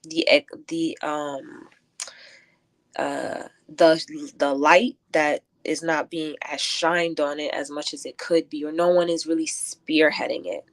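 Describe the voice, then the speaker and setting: A woman's voice: deep voice, steady tone, slow. A woman talking earnestly and reflectively as a guest in a casual conversational interview. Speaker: interview guest, event curator and poet.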